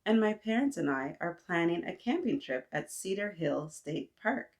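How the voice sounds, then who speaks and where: A woman's voice: fairly low pitch, sing-song, normal speed. A woman voicing a friendly, busy young girl in a picture book, polite, chatty and earnest. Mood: earnest, explaining.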